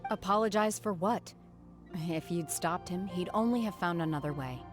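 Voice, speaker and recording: A woman playing a solemn, detached young woman raised by immortals in a video game, measured and emotionless. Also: fairly low pitch, soft background music, natural voice. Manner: dispassionate, measured.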